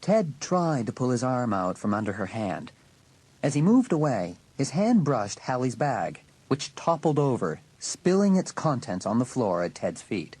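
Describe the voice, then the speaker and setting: A man's voice: mid-range voice, very animated, a little slow, darkish tone, breathy edge, rough recording. A man reading scripted story narration as an audiobook narrator.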